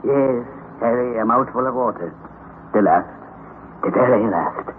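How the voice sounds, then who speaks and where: An actor playing a proud, stubborn older uncle who leads an expedition, with theatrical, emphatic delivery in an old radio drama on a thin, noisy recording. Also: male voice, medium pitch, a little slow, phone-quality sound, natural voice. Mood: tender, earnest.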